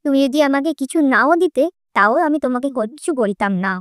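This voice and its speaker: A woman's voice: high voice, fast, no echo, clean studio sound, close to an everyday voice. A woman voicing a sweet, humble, grateful young daughter-in-law in a cartoon story. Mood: grateful, tender. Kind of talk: Bengali cartoon fairy-tale voice acting.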